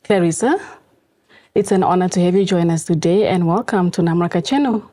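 A woman's voice: fairly low pitch, brisk, warm tone, no echo. A woman hosting a studio interview, introducing the guest and asking questions in a formal, scripted way. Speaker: show host. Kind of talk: scripted presenting. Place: TV studio, seated.

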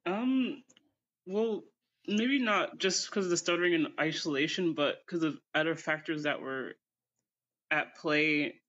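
A woman from Canada with a deep voice and a stutter, answering interview questions thoughtfully. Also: a bit bright, highs cut off. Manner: thoughtful, measured. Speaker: podcast guest.

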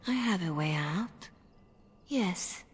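Female spymaster for a fantasy game: husky, sly, seductive, controlling. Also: fairly low pitch, expressive, slow, heavily acted. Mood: hushed, soothing. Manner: coaxing, commanding, condescending endearments. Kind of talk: video game voice acting.

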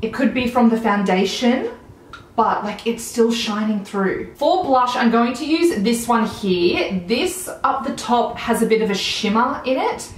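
A woman's voice: fairly high pitch, animated, measured pace, reverberant, slight background noise, low-quality recording. A woman in her thirties with an Australian accent, chatting enthusiastically through a makeup tutorial at home. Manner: chatty, enthusiastic product commentary while applying makeup. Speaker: beauty vlogger. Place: small room at home, indoors.

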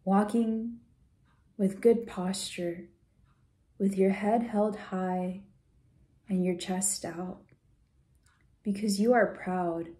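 A woman leading a guided visualization in a soft, gentle, soothing way, unhurried with pauses and at times breathy. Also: medium pitch. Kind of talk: guided meditation, soft slow instruction.

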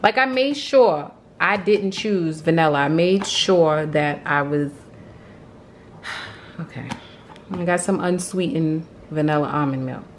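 Low-voiced woman chatting casually at home. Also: slow pace, a little hiss or hum. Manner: chatty, exasperated venting with small laughs. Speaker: home vlogger. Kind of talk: casual grocery haul and cleaning chat. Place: home kitchen. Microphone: handheld phone camera.

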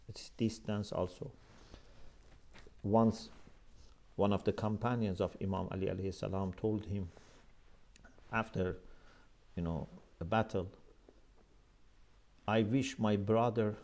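A man's voice: on the low side, vocal fry, darkish tone. A middle-aged man gives a religious lecture in an earnest, emphatic manner, speaking into a lapel mic in a small office. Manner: earnest, emphatic, gestures with his hands. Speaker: Islamic scholar lecturing.

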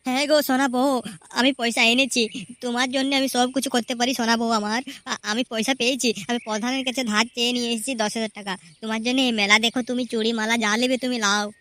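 A woman's voice: fairly high pitch, level tone, fast talker, a bit bright. A performer voicing several fawning male characters in a cartoon. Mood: tender. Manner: eager and fawning. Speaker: voices several cartoon men. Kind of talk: Bengali cartoon voice acting.

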